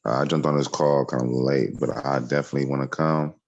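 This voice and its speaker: Very deep, gravelly man on a dull line. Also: steady tone. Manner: warm, eager, halting with fillers. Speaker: caller. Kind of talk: casual chat.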